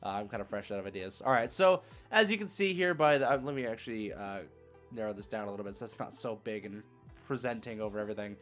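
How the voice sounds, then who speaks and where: A man's voice: fairly low pitch, quick pace, a bit bright, faint music behind. A man live streaming a game, chatting casually with viewers in a rambling, conversational, slangy way. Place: indoors, solo at a streaming setup.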